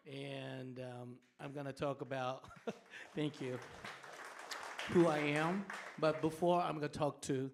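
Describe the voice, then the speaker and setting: A middle-aged man from Japan, a native Japanese speaker, hosting a storytelling night on a theater stage in a halting, chatty way with frequent laughs and fillers. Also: mid-range voice, steady tone, a crowd in the background, background noise. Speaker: host and storyteller. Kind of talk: casual live stage hosting.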